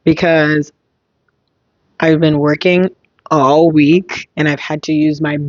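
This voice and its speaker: Slightly hoarse young woman musing slowly. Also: low-pitched, little pitch movement, no echo, lo-fi sound. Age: young adult. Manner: reflective, thinking aloud. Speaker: podcast host. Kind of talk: casual solo podcast monologue.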